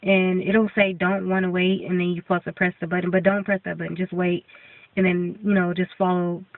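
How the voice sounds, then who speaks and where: A woman's voice: lowish voice, little pitch movement, a little fast, no room sound, recorded from a distance. A young woman vlogger giving a casual update, congested and coming down with a cold, rambling with many ums and restarts. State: congested, getting sick. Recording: tinny phone-like sound from off-mic.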